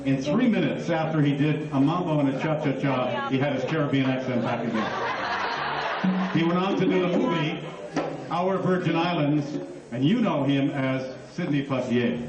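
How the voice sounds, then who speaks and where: A man's voice: fairly high pitch, moderate pace. An older man announcing and reminiscing enthusiastically as emcee of a live concert. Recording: echoey live hall recording from far off. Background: audience crowd.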